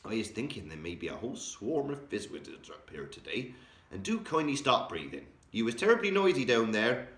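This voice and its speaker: A man voicing an excitable old male giant who mangles his grammar, invents words and bursts into loud exclamations. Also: fairly low pitch, brisk, echoey room. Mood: hushed, stern.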